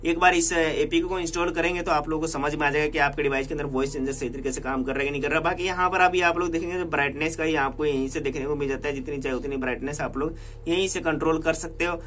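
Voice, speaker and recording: A man's voice: fairly high pitch, a bit bright, slight background noise. A man with an Indian accent narrating a casual hands-on tech tutorial, explaining repetitively and mixing in English words. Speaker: tech vlogger demonstrating a phone feature.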